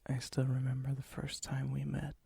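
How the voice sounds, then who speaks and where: A man playing a lonely, gentle, melancholy vampire in an ASMR roleplay, speaking softly and hesitantly, often near a whisper. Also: mid-range voice, monotone, creaky, breathy edge, exaggerated voice. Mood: wistful, sorrowful. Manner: hesitant, wistful, halting. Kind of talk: ASMR roleplay, intimate acted monologue.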